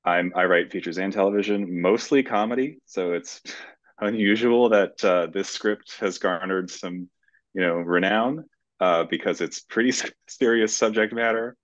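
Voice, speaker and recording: A middle-aged man speaking in a halting, measured way as a screenwriter in a casual interview over a video call. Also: on the low side, no echo. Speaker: screenwriter guest. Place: room at home, indoors. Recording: dull, muffled video call audio.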